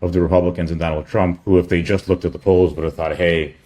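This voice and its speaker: A man giving a casual screen-share product update as a company chief, in a halting, rambling way with offhand asides. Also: low-pitched, flat delivery, warm tone.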